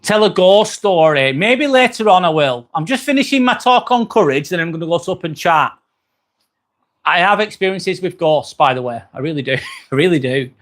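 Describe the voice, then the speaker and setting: A man with an accent close to Manchester hosts a relaxed livestream, chatting easily with his viewers. His voice sits a touch higher than most men's, and he often fills pauses with a quick "um". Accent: northern English, near Manchester. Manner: chatty, friendly, frequent "um" fillers. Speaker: livestream host. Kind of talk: casual livestream chat reading comments.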